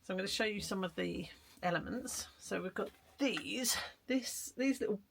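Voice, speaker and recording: A woman chatting casually in an art vlog, hoarse and croaky from a cold, rambling and self-deprecating. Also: mid-range voice, normal speed, a little hiss or hum. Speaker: vlogger. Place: garden shed studio.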